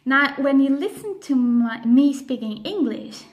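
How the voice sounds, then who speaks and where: A woman with a slightly high voice speaks English with a Swiss German accent; her first languages are Spanish and Swiss German. She teaches at an unhurried pace, pausing often and leaning on her key words. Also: lively intonation. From grew up on the other side of the globe. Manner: slow, careful, emphatic delivery with frequent pauses. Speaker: language educator. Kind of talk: explainer video lesson.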